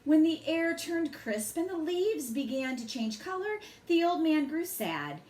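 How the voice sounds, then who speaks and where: A woman reads a bedtime story aloud to children with expressive, dramatic phrasing. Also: sits high, unhurried. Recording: roomy echo, faint background noise.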